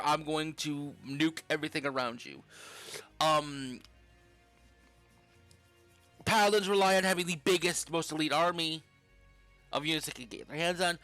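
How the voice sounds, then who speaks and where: A man's voice: high-pitched, bright, forceful tone, no echo. A young man giving casual, rambling commentary while playing a game, swearing offhandedly now and then. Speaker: gaming channel host.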